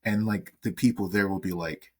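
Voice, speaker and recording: A man from the American South co-hosting a casual podcast chat, animated and quick to act out the voices he quotes. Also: sits low, slightly rough. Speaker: podcast co-host.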